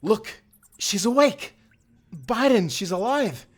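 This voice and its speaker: A man's voice: sits high, big pitch swings, slow pace, husky, heavily acted. Man playing earnest timberman sailor. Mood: urgent. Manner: earnest, old-time seafaring speech. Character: kind, principled male timberman sailor of the 1790s. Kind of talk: cinematic audio roleplay.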